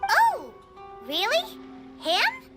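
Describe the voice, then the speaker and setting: A woman voicing a tiny, excitable, childlike companion creature in a video game, squeaky and exclamatory. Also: very slow, over music, full character voice. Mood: curious, concerned. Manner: exclamatory, animated.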